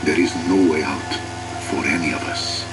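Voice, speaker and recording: A man playing a weary, reflective injured soldier, narrating a war story in a measured, dramatic way. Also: very deep voice, steady tone, over loud music. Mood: somber.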